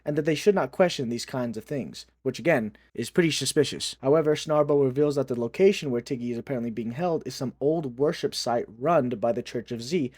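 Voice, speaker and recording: A man's voice: mid-range voice, a little fast, few pauses, darkish tone, husky, no room sound. A man hosting a video, reading scripted recap commentary. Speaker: video host.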